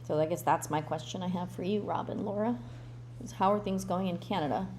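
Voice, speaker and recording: A woman's voice: on the low side, fairly quick, darkish tone, a little hiss or hum. A woman hosting a casual livestream Q&A, reading viewer comments aloud and answering in a loose, chatty way, thinking aloud with fillers and restarts. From born in Russia, grew up in the United States. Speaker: relocation consultant hosting a livestream Q&A.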